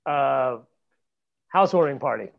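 A man's voice: mid-range voice, expressive, a little slow, creaky, highs cut off. A man co-hosting a podcast, chatting casually and telling funny stories with mimicked voices. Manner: joking storyteller, mimics voices. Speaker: podcast co-host. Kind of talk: casual chat.

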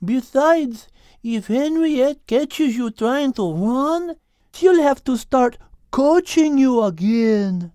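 A man voicing a placid, chatty female cow in a storybook, with an unhurried delivery. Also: very high-pitched, animated, dark tone, smooth, dry recording, studio quality, voice pushed up, full character voice. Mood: placid, gently warning.

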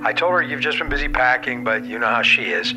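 An actor playing an easygoing, mildly exasperated middle-aged dad leaving a casual, rambling voicemail in an audio drama. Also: male voice, medium pitch, lively intonation, quick pace, over music, lightly acted, rough recording.

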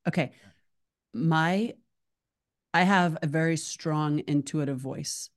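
Low voiced woman hosting a podcast, chatty, goes off on tangents a lot. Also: slow pace. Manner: chatty, rambling, self-described tangent talker. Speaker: podcast host. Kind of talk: casual podcast conversation.

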